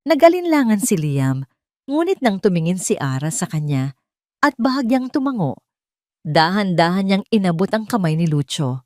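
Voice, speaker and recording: A woman narrating a romance audio drama from a script in an expressive, theatrical way. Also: medium pitch, moderate pace, no echo, clean studio sound. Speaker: story narrator.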